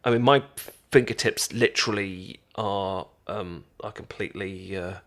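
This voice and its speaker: A British man playing a caring, chatty best friend in an intimate ASMR roleplay, talking casually with halting, stammering explanations. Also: deep voice, a bit bright. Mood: gentle, reassuring. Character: caring, chatty male best friend teaching sushi making.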